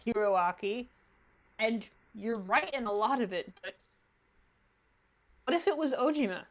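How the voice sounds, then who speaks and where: A woman's voice: on the high side, expressive, a little slow. A woman giving casual live reaction commentary as a streamer.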